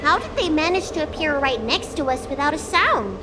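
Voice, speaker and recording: A woman's voice: high voice, big pitch swings, moderate pace, loud music behind the voice. An actress voicing a spirited, forthright young girl in a video game, youthful, earnest and direct in manner. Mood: alarmed.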